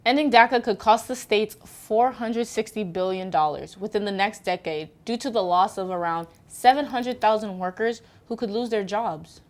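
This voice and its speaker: A woman's voice: mid-range voice, normal speed, hardly any pauses. A woman co-presenting a scripted news report, reading statistics from a script.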